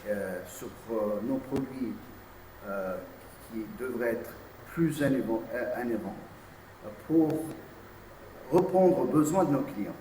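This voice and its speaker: A man whose first language is English, answering press questions haltingly with many hesitations. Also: mid-range voice, lively intonation, warm tone. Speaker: insurance company executive. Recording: distant mic in an echoey room with noise.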